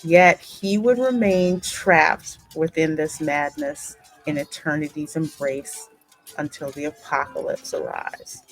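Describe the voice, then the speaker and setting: A woman hosting a game playthrough, reading the story in a deliberate, dramatic way with casual asides. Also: low-pitched, steady tone, soft background music, rough recording. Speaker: gaming channel host and narrator.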